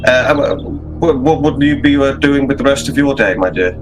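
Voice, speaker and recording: Casting: man acting older gentleman investigator, worldly and condescending, courteous halting delivery, faint music behind. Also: medium pitch, animated, lightly acted. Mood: courteous, resolved.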